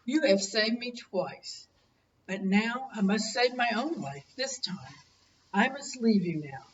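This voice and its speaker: A woman voicing a gentle, noble male monarch butterfly in a storybook read-aloud, speaking calmly and kindly. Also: fairly high pitch, takes it slowly, far from the mic, highs cut off, a little hiss or hum.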